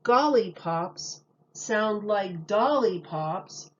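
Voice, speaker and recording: A woman voicing a clever, thoughtful little boy in a storybook, explaining things in a drawn-out, emphatic way. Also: medium pitch, lively intonation, muffled recording, a little hiss or hum. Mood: thoughtful, helpful.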